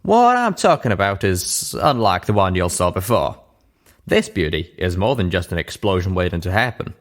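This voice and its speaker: A man's voice: sits low, very animated, normal speed. A man voicing a folksy male pegasus pony tinkerer. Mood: eager, proud. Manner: folksy drawling speech with country slang.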